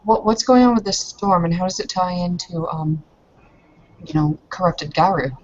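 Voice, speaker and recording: A woman's voice: fairly low pitch, moderate pace, a little mellow, muffled recording. A woman improvising in character in a roleplaying game, hesitant and questioning. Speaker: player in an online roleplaying session.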